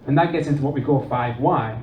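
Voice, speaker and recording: A man with a Dutch accent, giving an animated conference talk and acting out quoted dialogue. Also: medium pitch, fast talker. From Netherlands and England. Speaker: conference presenter. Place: conference room. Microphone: distant room recording. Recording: distant, roomy, dull with faint noise.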